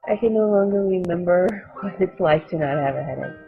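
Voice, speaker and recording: A woman giving personal testimony in a wry, emphatic way with a very creaky voice. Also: fairly low pitch, fairly quick. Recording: tinny narrow sound, faint music.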